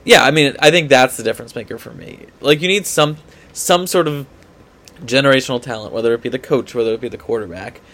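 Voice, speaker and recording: A man's voice: mid-range voice, quick pace, a bit bright. A man co-hosting a sports podcast in casual debate, often hedging and repeating his points for emphasis.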